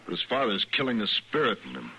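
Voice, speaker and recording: An actor playing a principled, bookish sheep rancher with an American accent, articulate and quietly defiant, in an old-time radio western. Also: male voice, lowish voice, sing-song. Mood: earnest, troubled. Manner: articulate, deliberate, earnest. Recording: noisy old radio recording.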